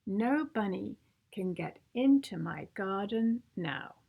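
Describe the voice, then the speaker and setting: A woman plays a stubborn, grumbling man who tends his garden in a children's storybook. He declares things slowly and emphatically, very pleased with himself and full of boasts. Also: medium pitch, expressive, vocal fry, phone-quality sound, full character voice. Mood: smug. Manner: emphatic, self-satisfied declarations.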